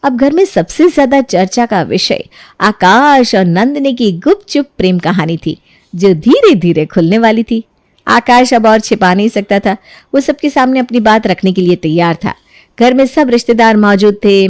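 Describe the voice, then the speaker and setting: A woman's voice: medium pitch, lively intonation, measured pace, no echo, clean studio sound. A woman narrating a scripted moral story as its storyteller.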